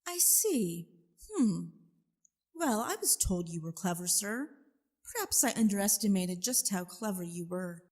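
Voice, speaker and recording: A woman's voice: medium pitch, expressive, measured pace, darkish tone. An actress playing a cunning, dangerous lady villain in a comedic audio drama, imperious and menacingly polite in formal diction. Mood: haughty, threatening.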